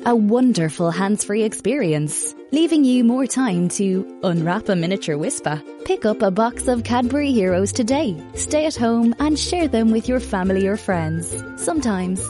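A woman's voice: mid-range voice, lively intonation, moderate pace, hardly any pauses, over music. A woman reading a scripted advert as a voice-over.

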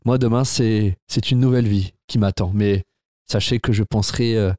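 A man's voice: lowish voice, fairly even intonation, moderate pace, dark tone, no echo, studio quality, natural voice. A man improvising a retiring company boss of about sixty, touched and hesitant, who stammers and repeats his words in a comedy sketch. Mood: solemn, moved.

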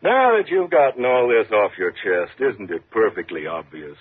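An actor playing a calm, measured, patronizing prison warden in a 1950s radio thriller. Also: male voice, on the low side, husky. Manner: measured, reassuring, condescending. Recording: muffled, lo-fi 1950s radio recording.

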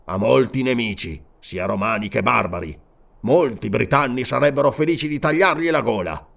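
A man's voice: medium pitch, animated, normal speed, vocal fry, fairly bright tone. An Italian man narrating an audiobook and voicing a proud, furious barbarian king in disguise who swears vehement, declamatory oaths. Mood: bitter.

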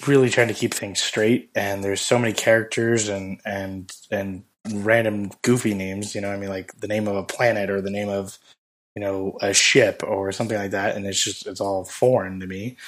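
Podcast co-host, male, hoarse and low, flat delivery, halting rambler. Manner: rambling, halting with many fillers. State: hoarse, losing his voice. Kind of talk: casual chat.

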